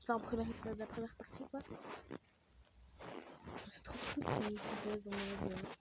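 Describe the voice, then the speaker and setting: A woman's voice: medium pitch, little pitch movement, a little fast, very noisy. A woman with a French accent and a stuffy nose from a cold, chatting casually as she plays a game, easily annoyed and muttering asides. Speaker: gaming vlogger.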